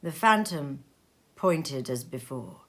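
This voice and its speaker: A woman reading a story aloud in an expressive, measured and dramatic narrating style. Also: deep voice. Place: sitting room at home.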